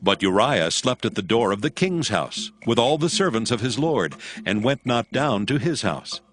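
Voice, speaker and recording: A man narrating scripture from a script in a formal, measured, solemn manner. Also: mid-range voice, very animated. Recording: music behind the voice.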